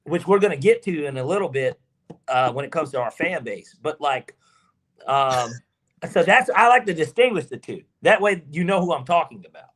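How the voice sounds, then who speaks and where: An emphatic Southern man chatting on a sports podcast, his fairly high voice swinging up and down in pitch. He argues his points with energy and drops the odd curse. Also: normal speed. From Georgia, US South. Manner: emphatic, animated, swears freely. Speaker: podcast co-host. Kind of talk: casual sports podcast chat.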